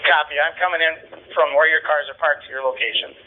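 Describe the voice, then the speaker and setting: Fast-talking man on narrow police radio. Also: on the high side, steady tone.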